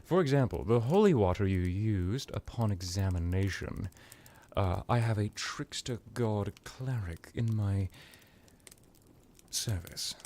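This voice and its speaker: Man playing a smug low-voiced vampire lord, theatrically lilting. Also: lively intonation, a little mellow. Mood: lecturing, smug. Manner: condescending, boastful, halting, mock-polite. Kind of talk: ASMR roleplay monologue.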